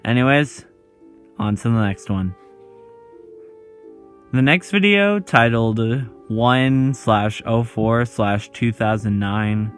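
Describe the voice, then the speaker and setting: A man narrating a scripted video essay as its host, pausing dramatically between short phrases. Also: medium pitch, lively intonation, soft background music.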